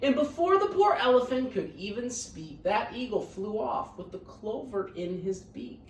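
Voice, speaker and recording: Animated woman storyteller in an echoey room. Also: fairly high pitch, normal speed, slight background noise. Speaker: read-aloud storyteller, teacher. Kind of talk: expressive children's storybook narration. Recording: roomy echo, distant microphone.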